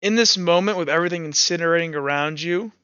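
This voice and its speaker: Man, game master, smooth clear voice, halting improvised narration, dry and slightly dull recording. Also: on the high side, sharp tone, no room sound. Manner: halting, pausing mid-phrase.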